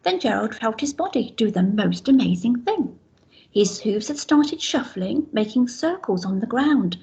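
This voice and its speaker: Casting: woman teacher reading a storybook, very lively melody, fairly high voice, muffled lo-fi recording. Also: measured pace, creaky. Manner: expressive rhyming storytelling, pointing out pictures. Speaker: infant school teacher. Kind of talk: reading a picture book aloud to children.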